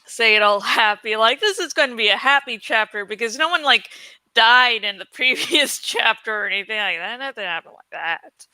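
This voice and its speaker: A woman chats casually as host of a reading channel. Her voice is high and very bright, with a rambling, slow delivery and a dry sound. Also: lively intonation, no echo, low-quality recording.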